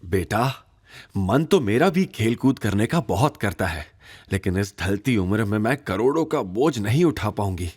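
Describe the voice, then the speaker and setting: A man voicing a greedy, slyly coy middle-aged mother with a husky voice in an audio drama. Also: fairly low pitch, expressive, normal speed, a little mellow.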